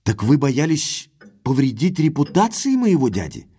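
A young gentleman played by a male actor in an audio drama, with a mid-pitched voice and animated intonation. He is direct and inquisitive, courteous but used to giving orders. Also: moderate pace, lightly acted. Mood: earnest. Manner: questioning, courteous, authoritative.

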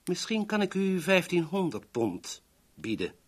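Florid, wheedling go-between, swooping intonation. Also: male voice, high-pitched, big pitch swings, measured pace, husky, full character voice. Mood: pleading, baffled. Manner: florid, over-courteous, theatrical. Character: polite, wheedling, self-important male shady go-between. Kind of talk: 1970s Dutch radio drama acting.

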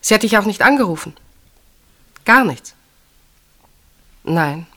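A woman's voice: mid-range voice, expressive, slow pace, a bit bright. An actress playing a guarded, troubled woman in a German radio play, speaking in a hesitant, evasive dramatic manner. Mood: worried.

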